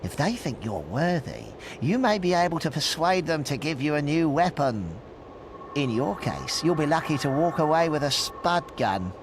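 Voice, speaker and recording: An actor playing a dry, grandiose stone gargoyle who mocks the hero in a video game. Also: male voice, fairly high pitch, sing-song, normal speed, noisy background. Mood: grand, mocking. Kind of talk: video game narrator acting. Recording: long reverberant hall.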